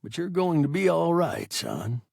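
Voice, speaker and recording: A man voicing a weary, fatherly male pilot who keeps his voice low and soft. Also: gravelly, breathy edge, no echo, clean studio sound, exaggerated voice. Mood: soothing. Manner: low and deliberately soft, trying to sound calm. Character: weary middle-aged male pilot, gruff but fatherly.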